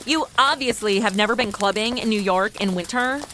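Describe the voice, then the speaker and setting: A woman's voice: mid-range voice, a little fast, sharp tone, slight background noise, natural voice. A woman playing a brash, flippant young American in a comedy audio drama, casual and sarcastic.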